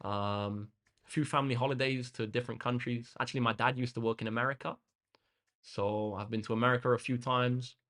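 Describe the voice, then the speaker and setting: A man's voice: mid-range voice, a little fast. Laid-back young man chatting. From England. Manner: relaxed and chatty, with frequent ums and uhs. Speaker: maths tutor hosting a livestream. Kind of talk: casual chat answering live comments. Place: indoor room facing a big window.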